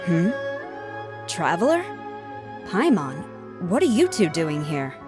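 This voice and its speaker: A woman's voice: on the low side, big pitch swings, takes it slowly. Stern, commanding woman officer. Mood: stern, questioning. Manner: formal, authoritative, clipped. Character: stern, commanding female police commander reprimanding a subordinate. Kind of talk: scripted video game dialogue. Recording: music behind the voice.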